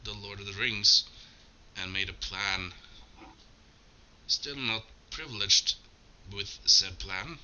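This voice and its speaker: Norwegian man, Norwegian native, slightly low voice, very slow solemn tribute read, music bed behind. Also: pushed and bright, rough recording. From Norway. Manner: slow, measured and solemn, with small self-corrections. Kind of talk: scripted spoken tribute.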